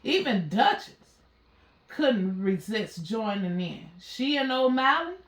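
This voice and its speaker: Female storybook reader, higher-pitched, slow and measured, in an echoey room. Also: big pitch swings. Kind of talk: children's story read-aloud. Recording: roomy, echoey sound.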